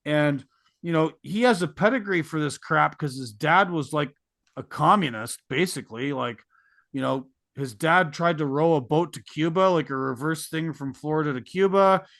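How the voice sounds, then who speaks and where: A man's voice: fairly high pitch, moderate pace, gravelly, a bit bright, dry recording, studio quality. A middle-aged man hosting a podcast, talking in an opinionated, rambling and sarcastic way. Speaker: podcast host. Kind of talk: casual podcast conversation.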